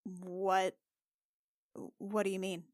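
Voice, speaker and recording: A woman plays a kindly healer woman in a tabletop roleplay. She talks slowly and haltingly, awkward but gentle, recorded close and dry. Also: mid-range voice, flat delivery, no room sound. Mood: sympathetic. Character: gentle, awkward female healer who revives the dead.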